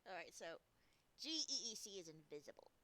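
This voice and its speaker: A woman's voice: fairly low pitch, sing-song, a little fast, bright, forceful tone. A woman streaming a game playthrough, commenting casually and talking to herself in a giggly way.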